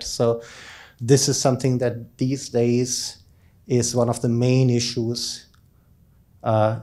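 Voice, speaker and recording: Mellow-voiced man giving a conference talk. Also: medium pitch. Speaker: keynote speaker, academic researcher. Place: conference lecture room, indoors, at a lectern. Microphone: handheld microphone.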